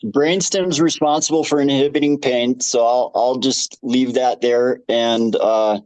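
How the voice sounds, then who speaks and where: A man's voice: medium pitch, steady tone, a little slow, darkish tone, no echo, low-quality recording. Man giving advice, dry close sound. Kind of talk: informal advisory talk.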